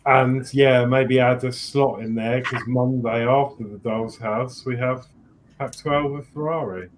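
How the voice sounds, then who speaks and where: A man's voice: mid-range voice, steady tone, a little slow, slight background noise, poor audio. A man on an online hobby panel, joking easily with the others. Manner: jokey, easygoing. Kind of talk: casual chat on a live stream.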